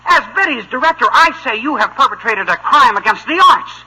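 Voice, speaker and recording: An actor playing a pompous, declamatory teenage boy with a raspy voice in a 1950s radio sitcom. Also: very high-pitched, expressive, fairly quick, exaggerated voice, poor audio. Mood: pompous indignation. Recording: bright old radio recording.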